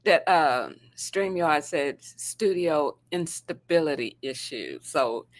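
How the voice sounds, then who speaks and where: Female co-host from the US, slightly low voice, informal morning chat with a conversational storytelling bent. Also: animated, slow pace. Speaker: talk show co-host. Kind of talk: casual morning show chat.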